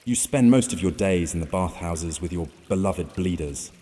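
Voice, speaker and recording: A man's voice: low voice, moderate pace, warm tone, lightly acted. A man playing a stern, dutiful vampire consul in a fantasy audio drama, speaking with grave, dry warning. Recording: long reverb, music bed, water sounds.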